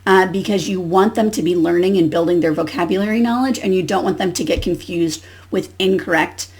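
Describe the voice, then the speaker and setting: A woman's voice: lowish voice, brisk, a little mellow. A woman giving an instructional demonstration as a teacher educator, explaining and modelling questions as if to young pupils.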